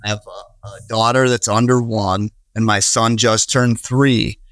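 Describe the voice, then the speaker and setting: Earnest low-voiced man with a Wisconsin accent. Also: fairly even intonation, unhurried, a bit bright, no room sound. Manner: earnest, rambling, frequent restarts and fillers. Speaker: podcast guest, real estate investor. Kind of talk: casual conversational interview.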